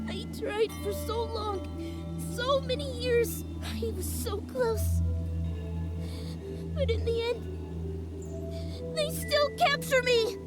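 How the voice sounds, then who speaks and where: A woman voicing a small, frightened, childlike male sprite in a video game cutscene, pleading in a halting, tearful way. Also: very high-pitched, heavily acted. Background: background music.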